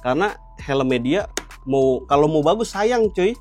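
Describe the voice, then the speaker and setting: A young man with a Jakarta Indonesian accent and a slightly high voice walks through gear as a vlog host. He explains in a colloquial, casual way and often checks in with the viewer. Music plays behind him. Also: expressive, moderate pace, a little mellow. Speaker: motovlog host.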